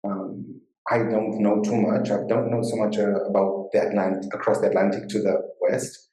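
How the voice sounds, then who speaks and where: A woman's voice: extremely low pitch, level tone, moderate pace, dark tone. A woman hosting an interview, asking long, rambling questions with frequent restarts. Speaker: podcast host. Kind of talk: casual interview chat. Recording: echoey room.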